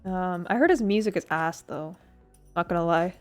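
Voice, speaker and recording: A woman's voice: lowish voice, moderate pace, faint music behind. A woman streamer chatting casually while building a model kit, rambling with lots of fillers and little laughs. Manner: rambling, filler-heavy, giggly, self-deprecating.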